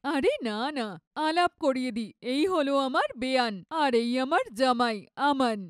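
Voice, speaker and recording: A woman's voice: high-pitched, animated, normal speed, smooth, dry recording, clean studio sound. A woman playing a boastful, condescending, middle-aged rich mother-in-law in a cartoon story, with a showy, theatrical way of talking.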